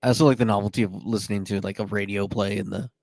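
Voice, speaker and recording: A man's voice: on the low side, fairly even intonation, racing, creaky, a little mellow. A man co-hosting a casual podcast, chatty and playful, reading out listener comments. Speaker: podcast co-host.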